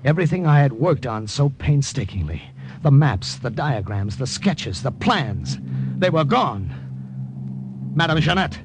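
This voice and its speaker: A man's voice: medium pitch, sing-song, measured pace. An actor playing a desperate young male army sketch artist turned spy in 1940s radio drama, talking in an urgent, flustered way. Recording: noisy old radio broadcast.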